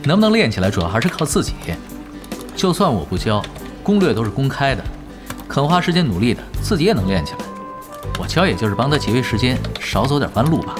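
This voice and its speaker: Man acting quick, cocky laid-back gamer guy. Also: medium pitch, sing-song, lightly acted. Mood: matter-of-fact. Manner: casual, teasing, self-assured. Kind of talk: audio drama acting. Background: music behind the voice.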